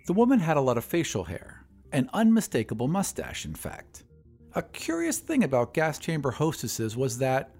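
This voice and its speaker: A man reading fiction as a podcast narrator, in an expressive, scripted storytelling style. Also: on the high side.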